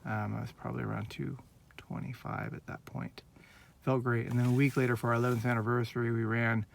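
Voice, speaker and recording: A man in his late forties from Northern California talks straight into his handheld phone in a casual personal vlog, earnest and heartfelt, wandering from thought to thought. His voice is heavily gravelly with a lot of fry, sitting at an ordinary middle pitch. A faint hiss of background noise sits under the recording. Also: flat delivery, fairly quick. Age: 40s. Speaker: vlogger.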